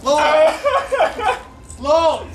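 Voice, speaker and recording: A man's voice: very high-pitched, very slow, over a noisy crowd, full character voice. A man playing an impatient, scolding servant. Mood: urgent. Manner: urgent calling, exasperated scolding. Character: impatient male servant hurrying a friend aboard. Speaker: stage actor. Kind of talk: live theatre performance of classical verse. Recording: roomy, from far off, audible hiss.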